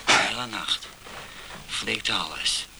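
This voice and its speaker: A worldly buddy talking low and confiding in a radio comedy, really noisy clicky recording. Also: male voice, takes it slowly, gravelly. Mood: sly, confiding. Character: worldly, matter-of-fact male pal sharing sly tips. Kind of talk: comic radio play dialogue.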